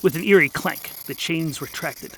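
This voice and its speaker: A man's voice: on the high side, moderate pace, very noisy. A man narrating a comic audio drama in an arch, theatrical and wry style. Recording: music under the voice. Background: background music.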